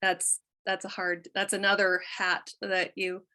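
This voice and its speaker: A woman's voice: medium pitch, monotone, measured pace, pushed and bright. A middle-aged woman talking as a podcast guest in a casual conversation, chatty and candid, often trailing off mid-thought. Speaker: guest, quilt artist.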